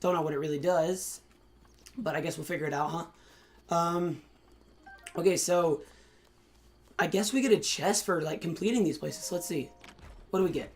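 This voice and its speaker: A hoarse young man in college hosting a casual, excitable let's-play commentary with lots of fillers. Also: fairly high pitch, normal speed, long pauses. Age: young adult. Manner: excitable, chatty, many fillers. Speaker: gaming channel host.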